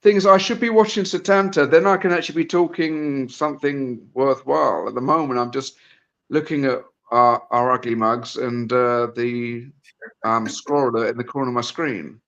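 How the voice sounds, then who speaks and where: A mid-pitched man talks casually on a phone during a football watch-along, stumbling through fillers and restarts. His voice comes through a little dull and muffled. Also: fairly bright tone. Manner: halting, with many fillers and restarts. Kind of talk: casual chat over video call.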